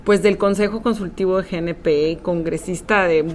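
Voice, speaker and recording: A woman hosting an interview show in an upbeat, casual way, often filling pauses. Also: lowish voice, fairly even intonation, darkish tone. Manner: upbeat, with frequent filler words. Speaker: podcast host. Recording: faint background noise.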